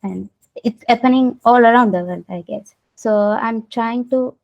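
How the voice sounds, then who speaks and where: Mellow-voiced Sri Lankan woman, hesitant. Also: a little high, unhurried, vocal fry. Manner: hesitant, searching for words with frequent uhs. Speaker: interviewee, artist. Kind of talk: casual interview answers. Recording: dull lo-fi recording.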